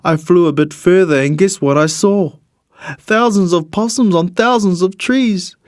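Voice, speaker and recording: A man's voice: high voice, no room sound. Man narrating a children's storybook, dry close sound. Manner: expressive, measured storytelling in the character of a bird narrator. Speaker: storybook reader.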